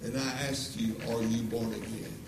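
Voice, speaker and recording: A man's voice: fairly low pitch, flat delivery, moderate pace. A man in his eighties preaching the closing of a sermon in a church auditorium, pleading earnestly with repeated questions and leading an altar call. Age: 80s. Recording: echoey auditorium from a distance with noise.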